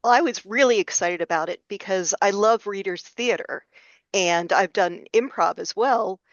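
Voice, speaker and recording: A woman's voice: medium pitch, moderate pace, dry recording. Woman chatting over slightly muffled call audio. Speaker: podcast guest, amateur radio play performer. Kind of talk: conversational recollection.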